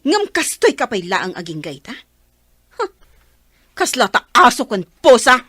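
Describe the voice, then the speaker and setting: A woman's voice: a little high, very animated, a little slow, sharp tone, husky, no room sound, exaggerated voice. A haughty wealthy wife in a radio drama, acted by a woman. Her voice swings up and down as she scolds and boasts. The sound is close and dry. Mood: furious. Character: proud wealthy wife, sharp-tongued and quarrelsome.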